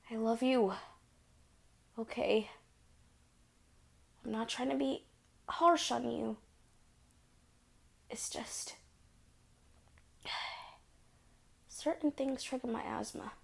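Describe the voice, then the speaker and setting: A young woman performs a fragile girlfriend with asthma in an audio roleplay, her voice pitched high and touched with a gravelly fry. She speaks slowly and softly, often half-whispering, in a pleading way. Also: exaggerated voice. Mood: gentle reassuring. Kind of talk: audio roleplay, spoken to the listener.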